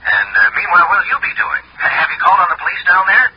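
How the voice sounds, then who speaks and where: A man's voice: sits high, sing-song, fast, very noisy. An actor in a 1960s radio drama playing an inquisitive, eager insurance office man in a phone-call scene. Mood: concerned, curious.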